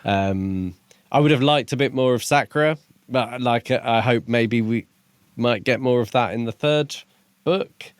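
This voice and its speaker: A man's voice: medium pitch, unhurried, clear, resonant tone, dry recording. A man co-hosting a book podcast, talking through his opinions in casual discussion.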